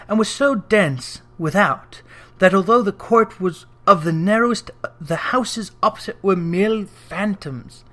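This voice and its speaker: A man narrating a classic story as an audiobook, with theatrical, deliberate phrasing. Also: on the high side, fairly bright tone. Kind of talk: expressive literary audiobook narration.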